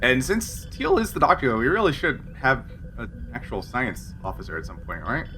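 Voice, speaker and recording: A man running a tabletop game as game master, explaining the scenario to players in a casual, halting, explanatory way. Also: medium pitch, wildly swooping pitch, sharp tone, soft background music. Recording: noticeable background noise.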